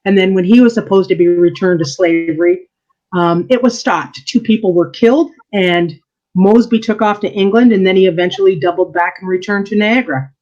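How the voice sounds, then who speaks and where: A woman's voice: mid-range voice, a little fast, darkish tone. A woman historian answers interview questions in an earnest, explaining way, breaking into easy laughter. Her voice sits in the middle range. The recording sounds dull and lo-fi. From Canada. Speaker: historian and church archivist, interview guest.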